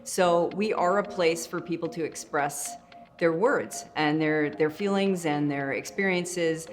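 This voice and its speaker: Low-voiced woman in an echoey, busy room. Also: a little slow, soft background music. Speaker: editorial director of a peer newsroom. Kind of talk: interview remarks and meeting talk. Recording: roomy echo, chatter of a meeting.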